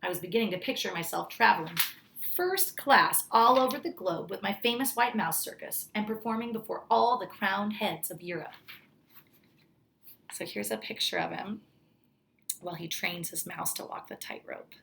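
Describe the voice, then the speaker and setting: A woman's voice: mid-range voice, normal speed, vocal fry, fairly bright tone, a little hiss or hum. Woman reading a children's story aloud. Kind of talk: children's story read-aloud with brief asides.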